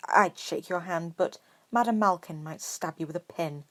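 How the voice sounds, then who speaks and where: A woman's voice: lowish voice, moderate pace, natural voice. Woman doing a haughty, drawling young wizard boy. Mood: self-important. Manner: lazy drawl, snobbish, derisive. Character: pale, haughty, spoiled eleven-year-old wizard boy.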